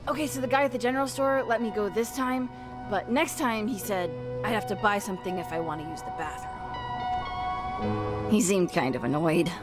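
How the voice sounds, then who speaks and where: A woman's voice: medium pitch, brisk, lightly acted. A woman voicing a casual, mildly embarrassed youngster in a naturalistic video game scene. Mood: sheepish, casual. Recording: game music behind the voice.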